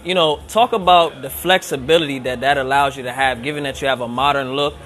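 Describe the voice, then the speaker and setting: A man's voice: medium pitch, quick pace, a bit bright, faint music behind. Easygoing man hosting a live interview. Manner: loose, conversational, lots of fillers. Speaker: show host. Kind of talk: casual live-streamed interview. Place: upstairs lounge of a restaurant. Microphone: handheld phone, no tripod.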